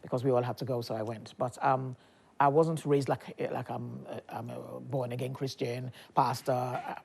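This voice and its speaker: A woman's voice: a bass voice, little pitch movement, normal speed, creaky, a little mellow, slightly rough. A woman pastor in her fifties from Nigeria, chatting as an interview guest, laughing often and restarting her sentences. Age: 50s. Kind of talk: casual interview talk.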